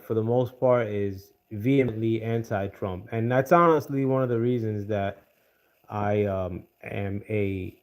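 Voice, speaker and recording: An American man giving casual political commentary on a livestream, pausing often with fillers and greeting viewers by name. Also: mid-range voice, darkish tone. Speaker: livestream host.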